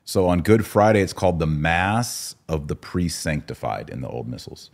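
A man's voice: low-pitched, sing-song, normal speed, a little mellow. A man hosting a podcast, talking casually and explaining religious matters in a conversational way. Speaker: podcast host.

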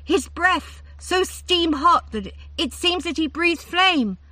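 A woman voicing an awestruck young princess who gushes and stammers in a high, excited voice. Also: slow, no echo, recorded from a distance, full character voice.

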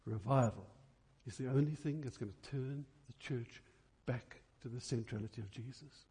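A man preaching an impassioned church sermon, emphatic, with rhetorical questions and deliberate stresses on key words. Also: mid-range voice, mellow.

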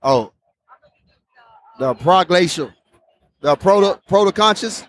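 A man's voice: high voice, lively intonation, takes it slowly. A man hosting a casual beer tasting vlog, enthusiastic and repeating his phrases. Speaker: host.

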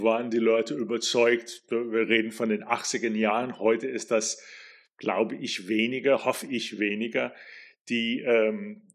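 A middle-aged man from New York with an American accent, a native English speaker, hosting a solo podcast in a slow, reflective way with pauses and fillers. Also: mid-range voice. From New York, USA. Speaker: podcast host.

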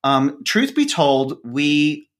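A man's voice: fairly high pitch, very animated, extremely slow. A man speaking casually as an interviewed podcast co-host, with a wry, dryly humorous way of talking.

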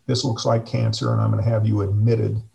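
A middle-aged man speaking slowly and reflectively as a guest on a video call, with frequent fillers. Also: on the low side, level tone, creaky, warm tone. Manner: slow, measured, hesitant with many fillers. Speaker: interview guest, district superintendent. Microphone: video call webcam.

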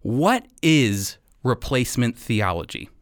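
A man's voice: medium pitch, very animated, slow pace, no echo, clean studio sound. A man hosting a radio teaching program, presenting and interviewing.

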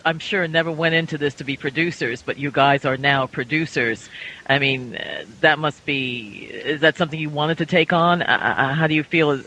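Bright, higher-voiced man, halting interviewer. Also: a little hiss or hum, rough recording. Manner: halting, rambling, repeats phrases. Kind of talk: casual interview chat.

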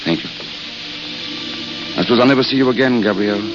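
A man's voice: medium pitch, music in the background, close to an everyday voice. Courteous, wistful drifter writer, played by a man. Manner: polite, halting, literate. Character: gentle, penniless wandering writer, courteous and wistful. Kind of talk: 1930s radio acting. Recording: muffled, crackling old radio broadcast.

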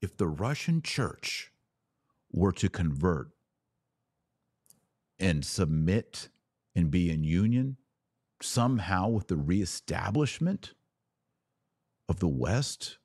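A man with a deep voice speaks in a dry, close recording. He delivers an unscripted monologue as a religious commentator, emphatic and given to repeating phrases for weight. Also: big pitch swings, slow, long pauses, darkish tone, breathy edge, dry recording. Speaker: podcast host and religious commentator.

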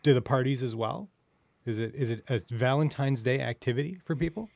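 Male podcast host, mid-pitched, informal and jokey interviewer, close and dry sound. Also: fairly quick, gravelly, a little mellow, no echo. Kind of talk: casual joking interview chat.

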